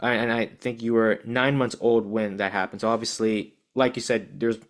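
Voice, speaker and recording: A man's voice: on the low side, steady tone, normal speed. Man asking long, rambling questions. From United States, Bangladeshi parents. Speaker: podcast host, interviewer. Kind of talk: casual interview conversation.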